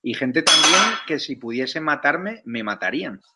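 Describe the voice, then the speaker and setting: A man's voice: on the high side, animated, unhurried, heavy background noise. A man with a Spanish accent from Spain, putting conversational questions as a political interviewer.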